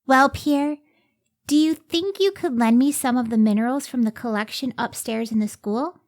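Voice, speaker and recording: Woman as earnest, high-voiced lady scientist. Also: moderate pace, darkish tone, no room sound, studio quality, close to an everyday voice. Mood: excited, earnest. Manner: earnest, careful, emotive delivery. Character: earnest, modest, devoted woman scientist and wife. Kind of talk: old-time radio drama acting.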